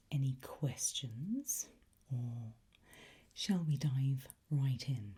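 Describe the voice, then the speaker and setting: A woman doing an ASMR teacher roleplay in a breathy whisper, giving slow, deliberate, coaxing instruction with pauses. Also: sits low, mellow. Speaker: ASMR performer playing a language teacher.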